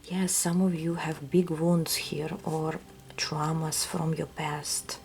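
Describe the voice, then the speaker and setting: A woman with a Russian accent, giving a soft, hesitant tarot reading that often drops to a whisper. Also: deep voice, level tone, slow pace, gravelly, a little hiss or hum. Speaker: tarot reader. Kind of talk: intuitive reading to viewers.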